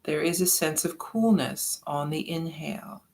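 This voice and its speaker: Slow, gravelly meditation guide voice. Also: mid-range voice. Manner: soft-spoken, drawn-out instructions with long pauses.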